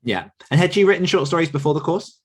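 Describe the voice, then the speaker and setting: A man's voice: a little high, expressive, quick pace, slight background noise. A man hosting a podcast, asking guests questions in a casual interviewing style. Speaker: podcast host.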